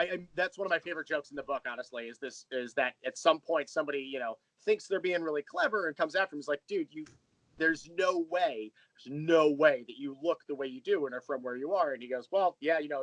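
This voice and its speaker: Higher-pitched man chatting as an interview guest. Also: fast talker, fairly bright tone, no echo, low-quality recording. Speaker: podcast creator and writer, interview guest. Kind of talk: casual interview chat.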